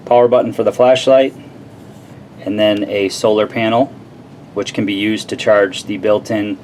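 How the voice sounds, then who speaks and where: A man talks through a product demonstration in a casual, plain-spoken way. His voice sits a little on the low side. The recording carries clear background noise as he explains things informally, like a reviewer. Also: a little mellow.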